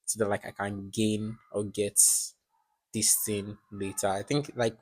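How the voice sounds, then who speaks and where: A man's voice: lowish voice, level tone, measured pace. A man in his twenties hosting a podcast, talking casually and reflectively with lots of fillers. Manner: rambling, filler-heavy, reflective. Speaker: podcast host. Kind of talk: casual chat.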